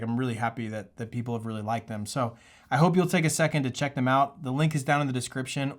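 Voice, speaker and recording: A man speaking casually and earnestly, like an instructor hosting a tutorial, with a reassuring, encouraging manner. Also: mid-range voice. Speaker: pilot and aviation tutorial host. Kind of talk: casual, encouraging advice talk to camera.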